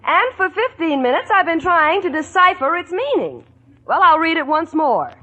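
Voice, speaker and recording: A woman's voice: extremely high pitch, big pitch swings, moderate pace, bright, forceful tone, heavily acted. A woman playing a sensible, exasperated young roommate who narrates with dry wisecracks in a 1940s radio comedy. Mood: wry, exasperated. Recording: noisy old radio broadcast.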